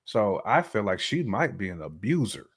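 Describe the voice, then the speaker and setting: A man's voice: on the low side, very animated, moderate pace, a bit bright, slightly rough. An American man co-hosting a casual relationship talk show, giving emphatic opinions, repeating his points and acting out imagined quotes. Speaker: podcast co-host.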